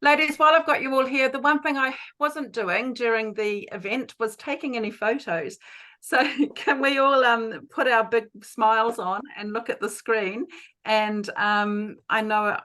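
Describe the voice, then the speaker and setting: A woman's voice: fairly high pitch, steady tone, sharp tone. Cheerful woman organising a video call. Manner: brisk and cheerful, talking to herself while fumbling. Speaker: meeting organiser. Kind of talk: casual chat on a video call.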